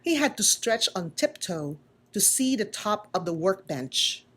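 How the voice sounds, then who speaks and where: A woman reading a children's story aloud in a deliberate, expressive way, like a school storytime. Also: mid-range voice. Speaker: school principal reading aloud, religious sister. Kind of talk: scripted children's storybook narration.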